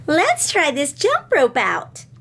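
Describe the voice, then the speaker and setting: A woman's voice: high voice, huge pitch swings, takes it slowly, a bit bright, pitched above the performer's own voice, heavily acted. A woman voicing a cheerful, bold little schoolgirl in a storybook, chanting in singsong like a jump-rope rhyme. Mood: bright, teasing.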